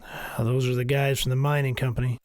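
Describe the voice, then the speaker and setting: Male actor for a wry ranch hand: casual, easygoing, medium pitch, audio drama. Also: level tone, a little fast, a little mellow, a little hiss or hum, close to an everyday voice. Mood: wary.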